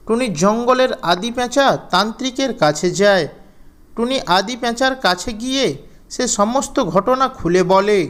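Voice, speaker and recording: A man's voice: very high-pitched, a bit bright, smooth. A man narrating a scripted children's story.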